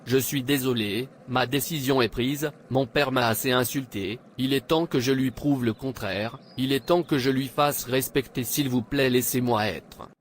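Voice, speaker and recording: A man's voice: mid-range voice, fairly even intonation, moderate pace, hardly any pauses, a little hiss or hum, natural voice. A man playing a stubborn, greedy, bitter young man in a cartoon, with defiant, declarative delivery.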